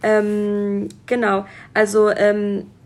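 A woman's voice: mid-range voice, completely flat delivery, very slow. Young woman, podcast host, casual chat, lots of fillers. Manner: friendly, many fillers, affirming hums. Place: guest's home in a city flat.

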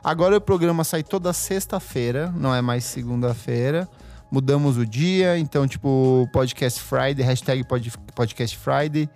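A man's voice: mid-range voice, normal speed, a little mellow, faint music behind. A Brazilian man hosting a podcast in casual chat, with a playful, teasing manner. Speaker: podcast host.

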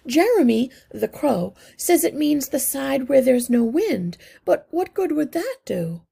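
A woman voicing a worried mother mouse in a storybook, soft and puzzled. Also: sits high, very animated, a little slow, vocal fry, voice pushed up, exaggerated voice.

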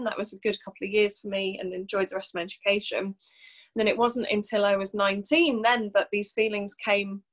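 A woman in her thirties from North Wales, a coach, talking openly and reflectively as a podcast guest, with many fillers. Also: medium pitch. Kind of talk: casual interview chat.

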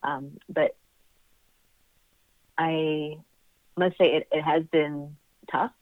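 Middle aged woman being interviewed, kinda halting n reflective, sounds like a phone call, dry. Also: deep voice, steady tone, no echo. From born in Singapore, raised there and in the United States. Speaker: interview guest. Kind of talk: reflective personal conversation. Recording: narrow, phone-like call audio.

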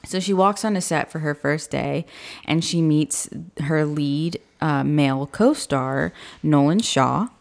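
A woman with a low, gravelly voice co-hosts a book podcast. Her voice sounds a little raspy today. She talks about a book in a chatty, relaxed way and laughs often. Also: takes it slowly. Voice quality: slightly raspy. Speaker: podcast co-host. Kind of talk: casual chat, book review.

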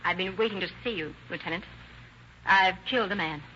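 A woman's voice: lowish voice, fairly quick, sharp tone. An actress playing a distraught but steely woman who confesses to a killing, in old-time radio drama style. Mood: grimly composed. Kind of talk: 1930s-40s radio drama acting. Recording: muffled old radio recording, faint noise.

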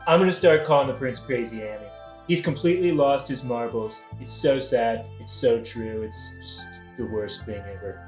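A man's voice: mid-range voice, normal speed, a little mellow, lightly acted. An actor playing a boastful, peevish king with a pompous, superlative-heavy way of talking in a satirical audio play. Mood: smug, boastful. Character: boastful, self-congratulating, peevish modern-slang king. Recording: roomy, distant mic, music behind.

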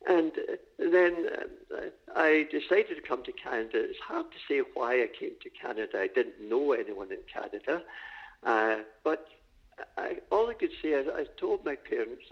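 Older man, Glasgow accent, gravelly, husky, lo-fi podcast audio. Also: mid-range voice. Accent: Scottish, Glasgow. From Scotland. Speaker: guest deacon. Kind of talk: podcast interview.

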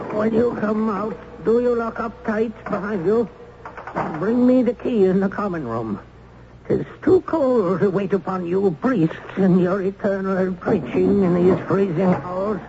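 An actor playing a grumbling, callous old prison turnkey with archaic rustic phrasing in a radio drama. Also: male voice, sits high, full character voice. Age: older. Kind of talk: old-time radio acting. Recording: dull, hissy old radio recording.

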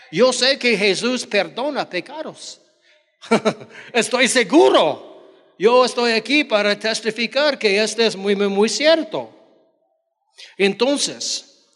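Slow, high-voiced older male preacher, echoing hall. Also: fairly bright tone. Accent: non-native Spanish. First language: not Spanish. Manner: emphatic, repeating phrases in short deliberate bursts. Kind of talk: sermon with personal testimony. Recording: long hall reverberation.

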